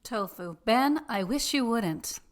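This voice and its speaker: A sharp-tongued, nagging aunt, played by a woman, tart and scolding, her pitch bouncing widely. It is a radio play reading. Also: animated, takes it slowly.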